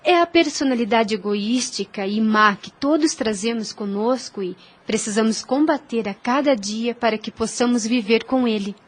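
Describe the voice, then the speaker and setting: A woman playing a gentle, devout young Spanish woman who explains scripture earnestly in a religious audio drama. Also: fairly high pitch, lightly acted. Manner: earnest, measured, reverent explaining.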